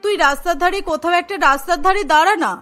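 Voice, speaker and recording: A woman's voice: very high-pitched, fast talker, bright, forceful tone. A woman voicing an affectionate middle-aged mother-in-law in a family cartoon. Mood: alarmed, scolding. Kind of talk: Bengali cartoon voice acting.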